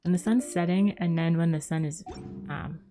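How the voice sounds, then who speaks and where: A woman's voice: fairly low pitch, fairly even intonation, normal speed, music in the background. A woman streaming a video game, chatting casually in a laid-back way as if musing to herself.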